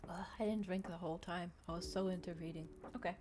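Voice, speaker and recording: Streamer girl w a lowish voice, rambly casual chat, repeats herself, some background noise. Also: steady tone, quick pace, a little mellow. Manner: rambling, repeats and restates phrases. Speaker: VTuber livestream host. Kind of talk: casual livestream chat with viewers.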